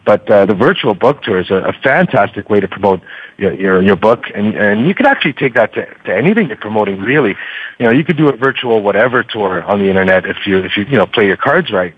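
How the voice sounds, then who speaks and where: A man, a guest on an interview show, explaining and giving advice conversationally, haltingly, with many uhs. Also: lowish voice, expressive, slightly rough. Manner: halting, frequent uhs and repeated words. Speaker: guest, book podcaster. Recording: dull lo-fi radio audio.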